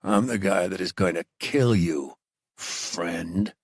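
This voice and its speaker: Man playing a defiant, low-voiced battered captive. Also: little pitch movement, a little slow, gravelly, husky, no echo, exaggerated voice. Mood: seething. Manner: strained, curt, defiant. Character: defiant, battered male captive, snarling through clenched teeth.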